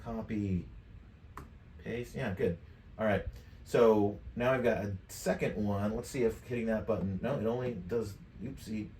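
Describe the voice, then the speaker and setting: A man in his fifties giving a casual, off-the-cuff software demonstration, jokey and slangy, with plenty of swearing. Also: fairly low pitch, fairly even intonation, a little slow. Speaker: tutorial presenter. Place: indoor home studio at a desk. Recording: roomy, distant mic, clear background noise.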